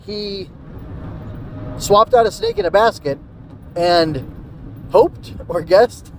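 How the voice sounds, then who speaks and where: A man's voice: high voice, lively intonation, slow pace, a little hiss or hum. A man giving a casual solo podcast review, rambling and hesitant as he thinks aloud. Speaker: podcast host reviewing an old radio drama.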